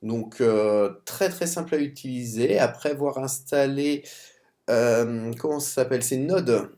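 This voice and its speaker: Man with a cold, halting French tutorial. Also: mid-range voice, warm tone. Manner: halting, stumbles and restarts words. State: has a cold. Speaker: software tutorial presenter. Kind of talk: screen-recorded coding tutorial.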